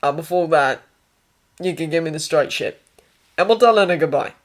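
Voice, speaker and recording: A woman's voice: sits low, moderate pace, lightly acted. A woman voicing a weary wounded army captain from Australia, blunt and laconic, with an Australian accent, in a story reading.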